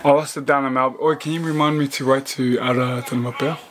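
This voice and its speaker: A man's voice: mid-range voice, fairly even intonation, fast talker, background noise. A young man chatting casually and teasing in a home vlog, filmed on a handheld camera in a small bedroom. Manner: joking, teasing, laughs often. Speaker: vlogger. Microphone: handheld camera at arm's length.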